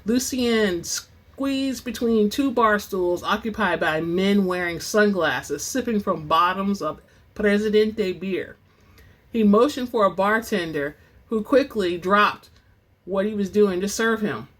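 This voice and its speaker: A woman hosting and reading book excerpts in a dramatic, expressive storytelling style, with informal asides. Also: mid-range voice, slow pace. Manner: theatrical, expressive; informal, says y'all. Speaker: host and reader of book excerpts.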